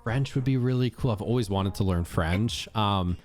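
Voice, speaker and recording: A man's voice: lowish voice, moderate pace, a little mellow, faint music behind. An American man hosting a podcast interview, easygoing and curious, with plenty of fillers as he asks questions. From United States. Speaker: podcast host. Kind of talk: casual interview.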